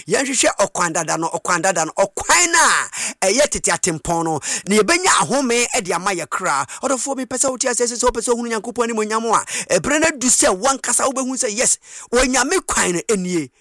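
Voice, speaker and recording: High-pitched Ghanaian man preaching emphatically. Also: lively intonation, fairly quick, dry recording, clean studio sound. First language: Twi. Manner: emphatic, repetitive, rhetorical questions, swinging into shouts and chant.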